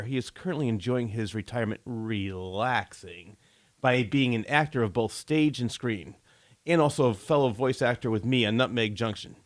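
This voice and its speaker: A man hosting a chatty interview show, with a jokey, teasing way of talking. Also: mid-range voice. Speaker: talk show host.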